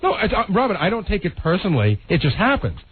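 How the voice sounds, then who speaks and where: An American man on a radio show, defending himself off the cuff in a husky voice, insistent and stumbling over his objections. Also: high voice, huge pitch swings, fast talker. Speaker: radio show staffer on air. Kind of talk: unscripted on-air bickering. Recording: narrow, tinny radio-broadcast sound.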